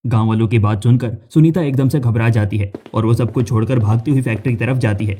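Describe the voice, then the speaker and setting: Mellow male cartoon story narrator. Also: medium pitch, quick pace, a little hiss or hum.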